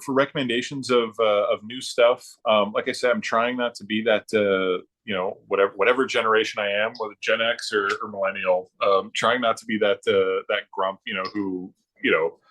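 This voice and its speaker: A middle-aged man chatting casually about his hobby as a podcast guest, haltingly, often circling back and repeating himself. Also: lowish voice, fairly bright tone. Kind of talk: casual chat about comic books.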